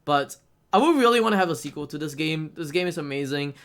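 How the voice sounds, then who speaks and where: A speedrunner from Singapore giving casual, rambling live game commentary in an excitable, giggly manner. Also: medium pitch, fast, clean tone.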